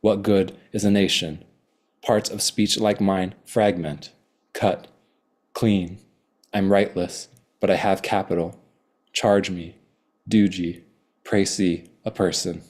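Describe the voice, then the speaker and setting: A man reading his own poems slowly and deliberately, with hesitant, rambling remarks between them. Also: low-pitched, fairly even intonation, darkish tone. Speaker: poet. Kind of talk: poetry reading with chatty asides.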